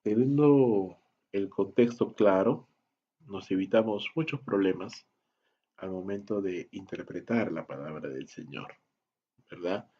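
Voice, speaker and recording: Slow, husky low-voiced man teaching. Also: muffled recording. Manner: measured, list-like delivery with rhetorical questions. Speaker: Bible study teacher. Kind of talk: slow, deliberate teaching lecture.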